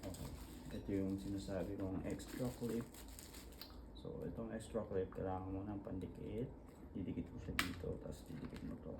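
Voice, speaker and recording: A man with a Filipino accent giving a casual hands-on tutorial, talking informally and haltingly as he works through each step. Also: low-pitched, level tone, background noise. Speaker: vlogger demonstrating a product installation.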